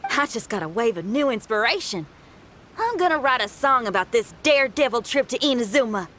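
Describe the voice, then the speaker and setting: A woman's voice: fairly high pitch, animated, a little fast, fairly bright tone, slight background noise. A woman voicing a bold, spirited young rock musician in a video game, with a folksy, plain-spoken Southern US accent. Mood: confident, friendly.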